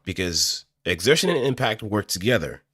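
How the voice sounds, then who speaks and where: A man with a low, bright voice explains things casually, as an amateur voice actor would in a tutorial. His delivery swings widely and he thinks aloud as he goes. The sound is close and dry, straight into a studio microphone. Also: very animated, moderate pace, breathy edge, no echo. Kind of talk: casual explanatory talk, improvised voice acting tutorial. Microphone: close studio microphone through audio interface.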